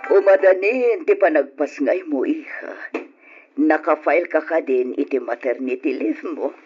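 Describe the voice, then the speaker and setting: A woman's voice: low voice, a little slow, darkish tone, soft background music. An actress playing a concerned, disapproving older mother in an old radio drama. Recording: dull, lo-fi radio drama recording.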